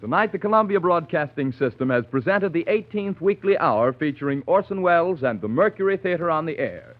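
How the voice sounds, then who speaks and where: A man's voice: a little high, expressive, fairly quick, soft background music. A man with an American accent reading formal scripted announcements as a 1930s radio announcer. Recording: thin, narrow vintage 1930s radio broadcast.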